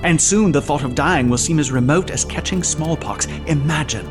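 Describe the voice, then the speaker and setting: An actor playing a calm, commanding envoy making a persuasive offer in a sci-fi audio drama. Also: male voice, on the high side, close to an everyday voice. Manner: persuasive, grave, measured. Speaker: envoy of an immortal society. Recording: music bed under the voice.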